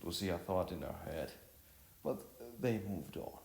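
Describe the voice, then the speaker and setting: A man narrating a scripted story, reading in a halting, stumbling way. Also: low voice, lively intonation, gravelly, a little mellow. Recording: roomy echo, music behind.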